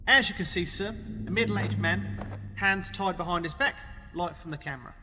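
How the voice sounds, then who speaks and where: A man's voice: high voice, normal speed, noisy background, close to an everyday voice. A man playing a younger, matter-of-fact police subordinate reporting details in a radio play, in a reverberant room with music behind.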